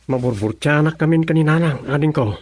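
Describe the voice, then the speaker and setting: An actor with an Ilocano accent playing a worried, mild-mannered grown son in a radio drama, earnest and fretful. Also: male voice, fairly high pitch, brisk, darkish tone, no room sound, lightly acted. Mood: troubled.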